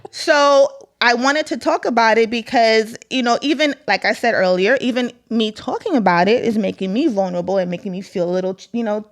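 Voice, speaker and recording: A woman with a somewhat high voice co-hosts a casual podcast chat. She speaks bluntly and expressively, curses freely, and sometimes mimics gossipy voices. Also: a little fast, few pauses. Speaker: podcast co-host.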